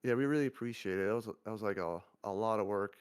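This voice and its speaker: Monotone stuttering man. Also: on the low side, quick pace, clean tone. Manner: monotone, stuttering, many restarts. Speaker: agency CEO guest. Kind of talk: casual livestream chat.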